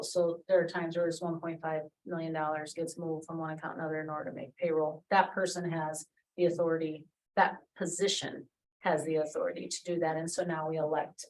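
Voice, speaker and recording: A woman's voice: on the low side, darkish tone, distant mic, rough recording. Woman explaining from across a room. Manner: explanatory, conversational. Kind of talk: explaining at a board meeting.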